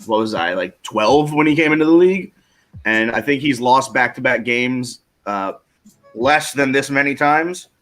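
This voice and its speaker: A man's voice: medium pitch, lively intonation, moderate pace, fairly bright tone, soft background music. A man in his thirties giving casual sports analysis as a panelist on a livestream. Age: 30s. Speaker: panelist giving fantasy football picks.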